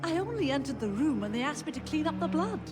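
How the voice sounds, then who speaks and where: A woman's voice: sits high, sing-song, quick pace, over loud music. A woman playing a guarded, hesitant hospital nurse in a video game, plain-spoken. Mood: uneasy, guarded. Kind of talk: video game dramatic acting.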